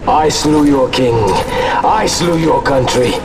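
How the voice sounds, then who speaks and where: An actor voicing a menacing, bitter villain in a video game, goading and declaiming in a theatrical, commanding manner. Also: male voice, medium pitch, slow pace. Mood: taunting confession. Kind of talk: dramatic video game voice acting. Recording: slightly distant voice under loud music.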